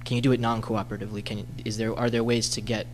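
Man in the audience, low flat voice, hesitant with ums, faint hum. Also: racing. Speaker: audience member asking a question. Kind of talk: Q&A question. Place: lecture hall audience.

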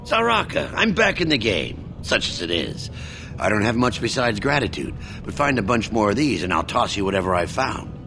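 A gruff dwarf nug wrangler from a fantasy video game, sounding worn down by life. His voice sits low but swings widely in pitch, and game music plays clearly underneath. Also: sing-song, normal speed. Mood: grateful.

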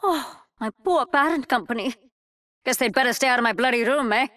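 A woman playing a cheeky, brash young gunner in a video game, with an Australian accent and a breezy, teasing, expressive delivery. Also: on the high side, brisk, far from the mic, natural voice. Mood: mock outrage. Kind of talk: video game character lines.

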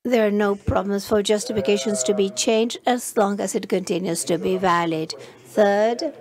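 A woman giving live simultaneous interpretation of a conference session, halting often and restarting phrases as she renders the speakers. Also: medium pitch, fairly even intonation, crowd noise. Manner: halting, frequent restarts and fillers while rendering speech.

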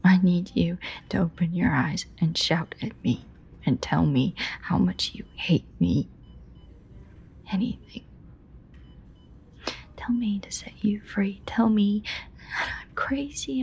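Whispering, creaky, obsessive yandere woman played by an actress. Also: medium pitch, darkish tone, slight background noise. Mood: desperate, pleading. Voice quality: breathy whisper. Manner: halting, pleading, intimate. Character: obsessive, guilt-ridden yandere woman pleading at lover's bedside. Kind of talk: whispered dramatic audio roleplay addressed to a listener.

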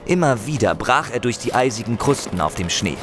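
A man's voice: mid-range voice, very animated, a little fast, over loud music. A man narrating a fantasy audio drama as a dramatic scripted storyteller.

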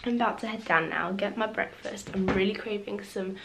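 A woman's voice: mid-range voice, brisk, vocal fry, fairly bright tone, a little hiss or hum. Chatty young woman vlogging at home. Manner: chatty, lots of "like" fillers. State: croaky just-woken voice. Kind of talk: casual chatty vlog. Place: home kitchen and bedroom. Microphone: handheld camera at arm's length.

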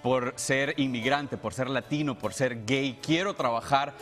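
A man's voice: mid-range voice, a little slow, fairly bright tone. A man in his thirties from El Salvador, a television presenter giving a heartfelt, unscripted farewell in an earnest, grateful way. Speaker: TV presenter saying farewell to the show. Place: television studio. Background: music bed.

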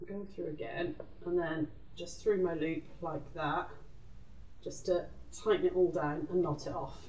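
A British woman hosts an informal craft lesson in a chatty, off-the-cuff way. Her voice sits a little low. It is picked up from a distance in an echoey room. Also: steady tone, unhurried, a little mellow, dull audio. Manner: chatty, informal, talks to self while working. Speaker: craft tutor and live show host. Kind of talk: casual live craft demonstration.

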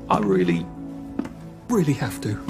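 A man's voice: on the low side, very animated, a little slow, over music. An actor with a British accent playing an awkward, evasive, self-mocking Englishman in a video game, stammering and hesitant. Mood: flustered. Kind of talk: naturalistic video game acting.

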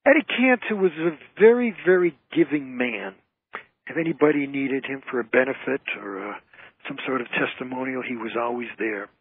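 A man's voice: mid-range voice, expressive, moderate pace, husky, no echo. A man giving an explanatory, anecdote-telling interview as a guest entertainer. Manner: storytelling, explanatory. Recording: narrowband phone-like radio line.

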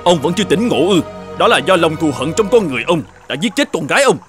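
An actor playing a grey-bearded older traveller in a cartoon folk tale, polite but frank. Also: male voice, fairly high pitch, sing-song, fast talker, music in the background. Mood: reproachful.

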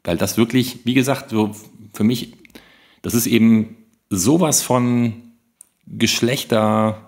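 A man in casual podcast conversation, emphatic but halting, circling back to restart his phrases. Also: mid-range voice, darkish tone. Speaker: podcast panelist.

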